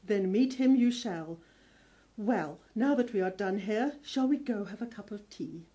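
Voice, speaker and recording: A woman's voice: mid-range voice, a little slow, mellow, highs cut off, lightly acted. A woman playing a kindly, fussing older spinster housekeeper in a radio play. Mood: kindly, practical. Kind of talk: audio drama acting.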